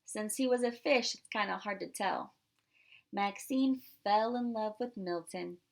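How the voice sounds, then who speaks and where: A woman reading a picture book aloud to young children, expressively emphasizing words and pausing to explain and ask questions. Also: medium pitch, vocal fry. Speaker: pre-K teacher.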